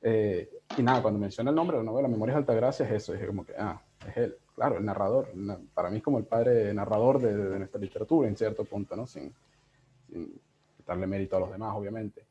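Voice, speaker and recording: A man in his thirties with a Venezuelan accent, talking casually and reflectively as an interviewed editor, in a halting, digressive way. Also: lowish voice, mellow. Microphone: video call. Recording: dull, lo-fi video call audio.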